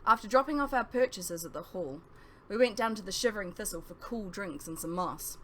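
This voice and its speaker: A woman reading a first-person novel aloud as scripted narration, with emphatic phrasing and dramatic pauses. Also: medium pitch, expressive, bright, forceful tone, a little hiss or hum.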